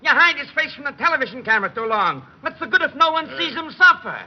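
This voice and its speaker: Very high, excitable little wrestling coach. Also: male voice, fast talker, highs cut off. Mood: agitated. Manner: frantic, exclamatory coaching. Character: excitable little male wrestling manager barking theatrical advice. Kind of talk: old-time radio drama acting. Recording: band-limited old radio recording, noisy.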